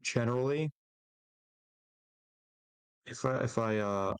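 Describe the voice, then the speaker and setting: Mid-pitched friend on a dry call mic, stop-start playful talk. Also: clean tone, no room sound, rough recording. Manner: halting, restarts, playful. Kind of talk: casual group chat.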